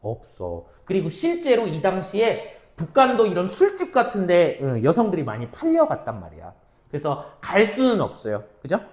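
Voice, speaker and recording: Female teacher, lively intonation, rhetorical questions, casual-polite mix, roomy echo. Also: lowish voice. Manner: asks quick questions and answers them herself; mixes casual and polite speech, checks understanding often. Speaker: Korean literature teacher. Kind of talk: exam-prep lecture.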